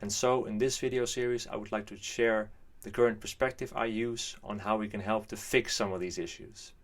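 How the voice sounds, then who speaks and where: A man's voice: fairly low pitch, steady tone, moderate pace, dry recording. A man in his twenties from the Netherlands, Dutch accent, giving an earnest, carefully hedged monologue as a channel host. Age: 20s. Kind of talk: scripted monologue.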